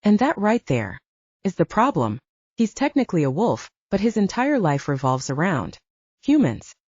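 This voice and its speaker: A woman voicing a calm, reasoning male werewolf in an audiobook, measured and placating. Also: lowish voice, husky, no echo, dull audio. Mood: patient explaining.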